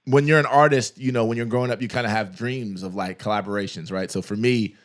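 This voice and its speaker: Male podcast host, fairly low voice, easygoing advice-giving chat. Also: steady tone, fast. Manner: advice-giving, talks through contract examples at length. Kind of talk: casual interview chat.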